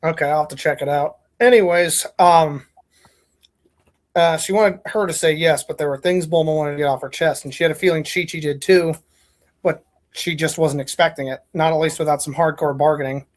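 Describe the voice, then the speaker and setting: A woman's voice: low voice, steady tone, fairly quick. A woman hosting a casual podcast, chatting informally and a little hesitantly, and reading fan fiction aloud.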